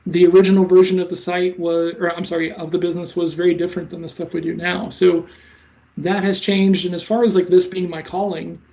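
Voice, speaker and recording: A man in his thirties who talks fast and stutters a little, giving casual interview answers as a sales coach. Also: high voice, flat delivery, creaky, mellow. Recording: slightly dull lo-fi audio.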